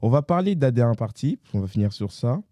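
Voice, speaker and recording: A man's voice: mid-range voice, big pitch swings, fast talker, mellow, dry recording, studio quality. A man hosting a conversational interview, feeling his way through long, reflective questions and readily agreeing. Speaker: interviewer, host.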